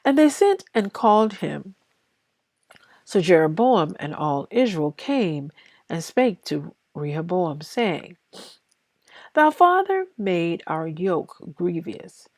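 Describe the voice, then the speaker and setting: Slow-paced woman reading scripture aloud. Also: fairly low pitch, very animated, darkish tone, no room sound. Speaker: scripture reader.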